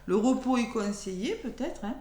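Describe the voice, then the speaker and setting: A French woman with a nearly lost voice, speaking softly as she gives a chatty weekly tarot reading for her followers. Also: a little high, lively intonation, normal speed. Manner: chatty, thinks aloud while drawing cards. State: losing her voice, talking softly. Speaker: tarot card reader. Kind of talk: weekly astrology card reading. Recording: echoey room with faint hum.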